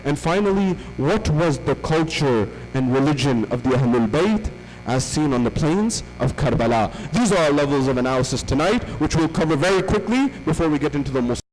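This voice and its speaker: A man's voice: fairly high pitch, animated, a little fast, a little mellow, big-room sound, faint music behind, poor audio. A man giving a religious lecture as a visiting speaker, asking rhetorical questions and repeating phrases for emphasis.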